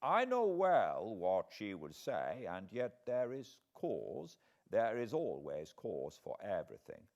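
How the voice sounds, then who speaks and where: Slow, courtly old professor. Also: male voice, lowish voice, wildly swooping pitch, vocal fry, a little mellow, dull audio, exaggerated voice. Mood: grave, thoughtful. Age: older. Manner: slow, deliberate, halting broken-English phrasing. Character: elderly courtly foreign professor, kindly, grave, wise. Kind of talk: audiobook character voice.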